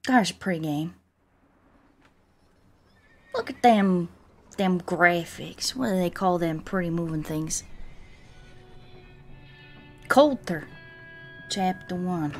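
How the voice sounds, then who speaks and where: A woman's voice: fairly low pitch, slow pace, pauses often, faint music behind. A woman livestreamer with a Southern US accent, joking playfully in a folksy drawl as she comments on a game. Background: game sounds.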